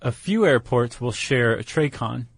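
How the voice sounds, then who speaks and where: Male podcast co-host, creaky voice, casual explainer tone, very dry close sound. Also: mid-range voice, animated, measured pace, no echo. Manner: informal, conversational, explains with loose analogies.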